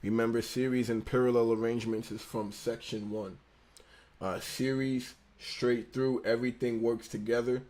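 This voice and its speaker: A man's voice: medium pitch, steady tone, normal speed, darkish tone. Laid-back young man with a slight lisp. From United States. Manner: laid-back, slangy, stumbles and rereads lines. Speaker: livestream host studying engineering. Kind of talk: casual livestream, reading a textbook aloud.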